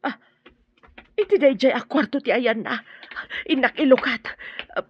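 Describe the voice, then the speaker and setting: A woman's voice: high voice, a little slow, highs cut off, a little hiss or hum. A woman with a Filipino accent playing an anxious, protective older mother in a radio drama, pleading and emotional. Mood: frantic, searching. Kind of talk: Ilocano radio drama acting.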